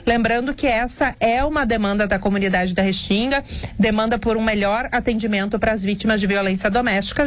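A woman reporter delivers a live report. She explains at length, with the odd filler and restart, and her voice sits a little high. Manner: explanatory, slightly unscripted with fillers. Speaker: radio reporter.

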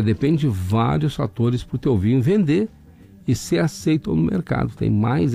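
A man with a southern Brazilian accent hosting an overnight radio show, hoarse from laryngitis, chatting folksily as he reads out listener messages. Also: medium pitch, dark tone. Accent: southern Brazilian, Rio Grande do Sul. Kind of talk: casual radio chat reading listener messages. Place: radio studio.

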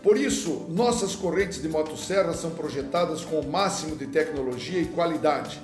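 A man with a southern Brazilian accent presenting a radio music show in a formal, courteous, measured announcer style. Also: on the high side. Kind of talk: formal scripted radio presenting with sponsor reads. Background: music under the voice.

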